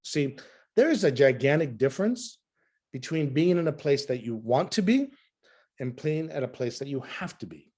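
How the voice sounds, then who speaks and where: A middle-aged man presenting over a video call, hoarse from talking nonstop, with an emphatic, repetitive sales delivery. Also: medium pitch, big pitch swings, moderate pace, darkish tone.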